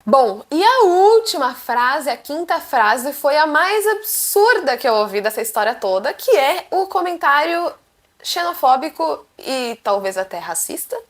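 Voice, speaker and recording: A young woman with a Brazilian Portuguese accent, chatting to camera as a vlogger and telling stories in a lively, animated way. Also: high voice, a little slow. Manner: animated, chatty, acts out quotes. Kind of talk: casual storytelling to camera.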